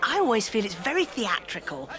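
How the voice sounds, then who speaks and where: A woman's voice: fairly high pitch, huge pitch swings, a little fast, sharp tone, faint music behind, full character voice. An actress playing a kindly, chatty older British village woman who muses nostalgically, in a naturalistic radio drama. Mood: chatty, reminiscing. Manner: chatty, musing. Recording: busy crowd and livestock market ambience.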